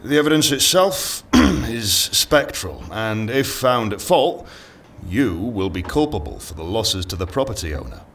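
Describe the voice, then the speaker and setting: An actor playing a stern, patient official, measured, formal and insistent. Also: male voice, fairly low pitch, sing-song, a bit bright, slight background noise, full character voice.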